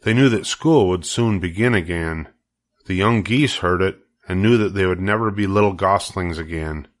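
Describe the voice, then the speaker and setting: A man narrating a children's story in a measured, deliberate way. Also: low-pitched. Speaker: storybook reader.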